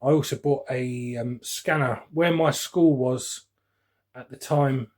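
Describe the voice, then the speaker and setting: A man in his forties with a London accent and a slightly husky, rough-edged voice at an ordinary male pitch. He talks informally and rambles a little, like a hobbyist reminiscing on his own vlog. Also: fairly even intonation, slow pace, darkish tone. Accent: London English. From West London, England. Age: 40s. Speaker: radio hobbyist vlogger. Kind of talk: casual reminiscing chat while unboxing.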